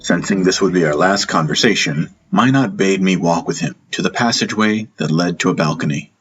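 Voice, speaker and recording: A man narrating a first-person fantasy audiobook in a measured, dramatic storytelling style. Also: low voice, a bit bright, soft background music.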